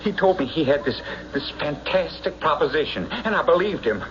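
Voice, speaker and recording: A man playing a desperate, hot-tempered war veteran in an old radio drama, speaking in an agitated, halting, insistent way on a muffled recording. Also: on the high side, very animated, crowd noise. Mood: aggrieved, pleading.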